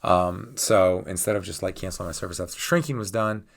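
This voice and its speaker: Raspy low-voiced man getting over a cold. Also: brisk. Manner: rambling, frequent ums and uhs. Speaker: channel host. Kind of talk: casual vlog rant.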